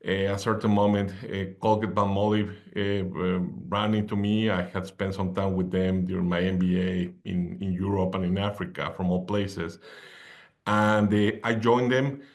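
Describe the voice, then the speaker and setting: Middle-aged man, low voice. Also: flat delivery, moderate pace. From Mexico. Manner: self-deprecating, wry humor. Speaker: podcast guest. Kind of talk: conversational interview storytelling.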